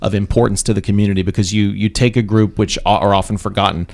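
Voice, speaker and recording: A man hosts a radio interview in a fairly low voice. He asks his questions haltingly, with plenty of fillers, restarts and an easygoing manner. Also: steady tone, vocal fry. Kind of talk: casual radio interview talk. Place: radio studio.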